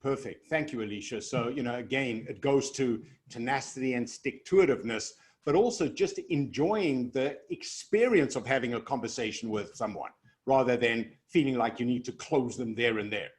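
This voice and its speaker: A man's voice: mid-range voice, normal speed. A man hosting a video call panel, encouraging and asking questions with hesitant fillers. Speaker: host.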